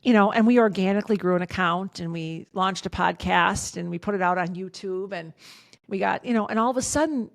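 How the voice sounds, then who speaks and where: Outspoken gravelly woman in her fifties. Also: mid-range voice, a little fast, dry recording, studio quality. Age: 50s. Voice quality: loud voice. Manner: outspoken, emphatic, swears freely. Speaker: podcast guest. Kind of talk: candid casual conversation.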